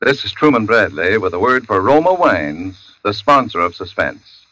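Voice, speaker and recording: A man delivering a polished, emphatic commercial pitch in 1940s radio announcer style. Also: sing-song, moderate pace, sharp tone, dry recording, rough recording.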